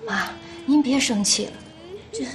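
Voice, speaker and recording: An actress playing a meek, soft-spoken young stepmother who pleads hesitantly. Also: sits high, measured pace, music in the background. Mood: meek pleading.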